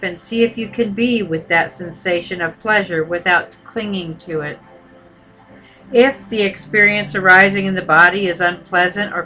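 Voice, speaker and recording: A woman meditation teacher leading a guided meditation in an unhurried, measured way, with pauses between short phrases. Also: mid-range voice, sharp tone, rough recording.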